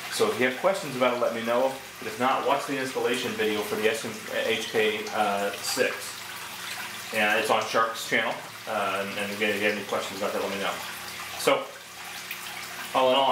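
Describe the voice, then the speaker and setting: A man giving a casual product demonstration and review, explaining things step by step in a conversational way. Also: mid-range voice. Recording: echoey, distant mic, clear background noise.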